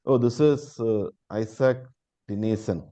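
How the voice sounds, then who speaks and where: Dull lo-fi recording, man, very mellow dark low voice, casual quiz contestant, hesitant. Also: a little slow. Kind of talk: casual spoken quiz answers. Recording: dull, muffled lo-fi audio.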